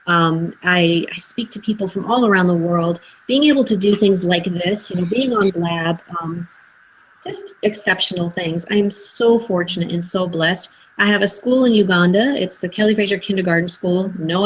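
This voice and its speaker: Lady host on a video call, kinda husky, earnest storytelling, lots of ums. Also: medium pitch, low-quality recording. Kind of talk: casual live video chat.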